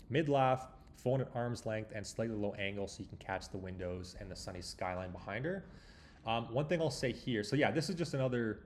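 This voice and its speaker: A man's voice: medium pitch, a little mellow, a little hiss or hum. A man hosting a tutorial, talking informally through a walkthrough and explaining as he thinks aloud. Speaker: tutorial host. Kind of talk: casual screen walkthrough tutorial.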